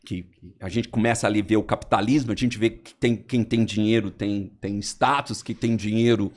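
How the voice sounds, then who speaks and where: A Brazilian man on a podcast, explaining history in a lively storytelling way and acting out quotes. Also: moderate pace. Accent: Brazilian Portuguese. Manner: lively storyteller, animated swings in pitch, acts out quotes.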